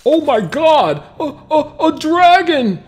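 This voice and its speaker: A frantic garbage man from a storybook, shrieks and stammers in terror. The voice jumps very high and shrill, with noise in the background. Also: sing-song, takes it slowly, a little mellow, heavily acted. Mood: terrified.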